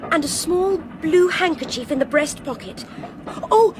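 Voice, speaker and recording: A woman's voice: extremely high pitch, unhurried. An actress playing an insistent, anxious young Englishwoman on a train in a radio drama. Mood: recalling, flustered. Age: young adult. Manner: urgent, determined. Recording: noisy train ambience behind voice.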